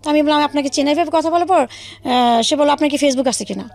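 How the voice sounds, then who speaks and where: A woman's voice: sits high, quick pace. A woman telling her own life story as a radio interview guest, recounting it in detail and acting out remembered dialogue in her own voice. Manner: recounts in detail, quoting past conversations as dialogue.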